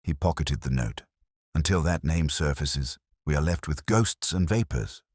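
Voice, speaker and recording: Male narrator, very deep and animated, dramatic detective fiction, measured literary phrasing. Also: no room sound, studio quality. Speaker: narrator and audiobook reader.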